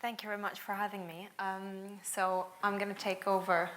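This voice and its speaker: A woman's voice: medium pitch, little pitch movement, moderate pace, fairly bright tone, slight background noise, rough recording. A young woman from Finland speaking at a panel event, earnest, often repeating the first word of a sentence. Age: young adult. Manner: earnest, doubles words when starting sentences. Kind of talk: panel talk. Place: event hall with audience.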